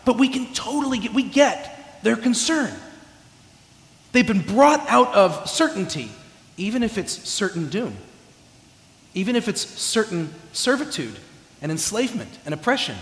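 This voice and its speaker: A man's voice: high-pitched, big pitch swings, unhurried, pauses often, a bit bright. A man from California giving a chatty, self-deprecating sermon with humor, often restarting his phrases, in a church sanctuary. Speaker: preacher. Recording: echoey hall reverb.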